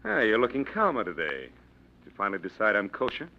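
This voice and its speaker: Hearty but evasive man, lively pitch, vintage radio play. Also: fairly quick, full character voice. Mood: guarded. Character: man claiming to be a returned brother. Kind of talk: 1940s radio acting. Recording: dull old radio broadcast.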